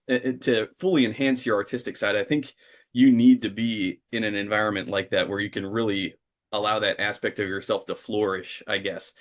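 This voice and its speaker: A man hosting a casual interview, talking with enthusiasm at length, often pausing on uh. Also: fairly low pitch. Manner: enthusiastic, talkative, frequent uh fillers. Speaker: host.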